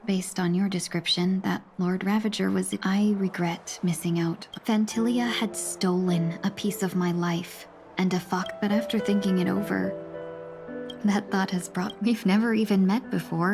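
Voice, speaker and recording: A woman voicing a gracious, courteous fox merchant in a video game, with a polite, formal, deliberate manner. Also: medium pitch, steady tone, over music, lightly acted. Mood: gracious, musing.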